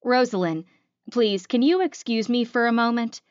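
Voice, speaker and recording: A woman's voice: on the high side, normal speed, clean tone, no echo, dull audio, pitched above the performer's own voice, natural voice. A woman narrator voicing an earnest, apologetic young woman in a romance audiobook.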